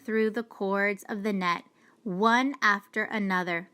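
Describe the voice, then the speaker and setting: A woman reading a children's storybook aloud with deliberate, expressive storytelling. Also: medium pitch, clear, resonant tone. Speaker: storybook reader. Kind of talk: children's read-aloud narration.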